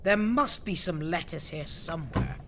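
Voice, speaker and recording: Dry, pedantic junior clerk. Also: male voice, a little high, expressive, moderate pace, background noise. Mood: dry irritation. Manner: dry, matter-of-fact, sardonic. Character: dry, pedantic, long-suffering male junior bureau clerk. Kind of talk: comedy audio drama acting.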